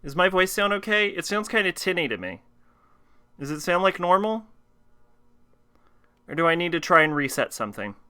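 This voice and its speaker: A man's voice: sits high, sing-song, normal speed, long pauses, bright, forceful tone, clear, resonant tone. A man streaming live, chatting casually and ramblingly with his viewers. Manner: chatty, rambling, talks to his chat and answers questions.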